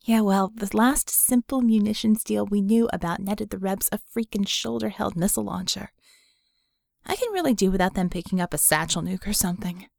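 Sardonic young female mage, creaky edge voiced by a woman. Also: mid-range voice, a little fast, darkish tone, no echo, studio quality. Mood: dry, grumbling. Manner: wry, confessional storytelling. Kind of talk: audiobook character dialogue.

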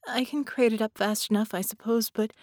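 A woman voicing a grief-stricken, exhausted woman in an audiobook, whispery, tearful and halting. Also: a little high, steady tone, darkish tone, no echo, clean studio sound, natural voice. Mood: weary, tearful. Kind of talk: audiobook character dialogue.

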